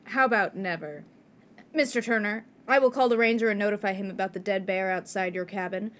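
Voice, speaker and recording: A woman's voice: mid-range voice, fairly quick, fairly bright tone, natural voice. A woman playing a prim young landlady, dry and dismissive, in a comedy radio play. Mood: brisk, curt. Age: young adult.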